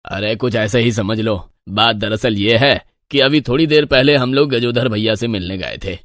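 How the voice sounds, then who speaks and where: A man's voice: medium pitch, no echo, close to an everyday voice. Man playing a gossipy villager, dry sound. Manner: gossiping, disapproving.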